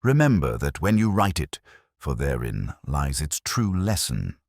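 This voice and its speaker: A man's voice: rumbling low, sing-song, a little slow, vocal fry, a little mellow, no echo, clean studio sound, exaggerated voice. A man voicing a cerebral detective who speaks in a grave, didactic way with formal Victorian phrasing. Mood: reflective.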